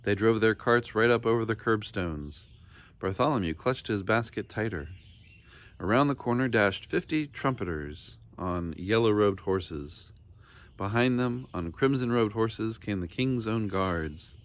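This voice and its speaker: Low-pitched man reading a children's story. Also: expressive, measured pace. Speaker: storybook reader. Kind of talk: expressive scripted children's story narration.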